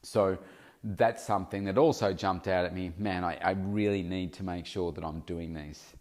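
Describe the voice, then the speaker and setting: A man's voice: deep voice, steady tone, normal speed, a little mellow. Nasal-sounding man musing on a podcast. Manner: rambling, self-correcting, thinking aloud. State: slightly nasal from hay fever. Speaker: podcast host and weight loss coach. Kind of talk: casual solo podcast talk.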